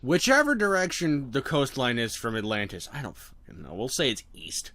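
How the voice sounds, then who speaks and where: Animated, laughing man brainstorming stories. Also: medium pitch, moderate pace, no room sound. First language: not English. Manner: animated and profane, laughing often. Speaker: co-host of a writing session. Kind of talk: casual brainstorming chat.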